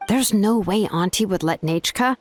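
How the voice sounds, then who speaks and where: A woman's voice: mid-range voice, normal speed, a little hiss or hum, close to an everyday voice. Laid-back young woman engineer, acted by a woman. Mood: serious, analytical. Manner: hesitant, technical explaining, casual drawl. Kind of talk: video game voice acting. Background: game music.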